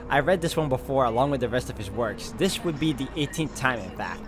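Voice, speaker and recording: A man hosting a game walkthrough, reading story narration aloud in an expressive, conversational way with casual asides. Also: medium pitch, noisy background. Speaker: video game walkthrough host.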